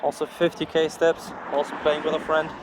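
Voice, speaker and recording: Man, German accent, slightly high voice, sleep-deprived, frank sweary casual monologue, walking outside, faint traffic noise. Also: completely flat delivery, a little fast. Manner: frank, swearing, self-deprecating. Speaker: vlogger. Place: outdoors, walking along a street. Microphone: phone recording while walking. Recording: outdoor street and traffic noise. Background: ambient outdoor noise with passing vehicles.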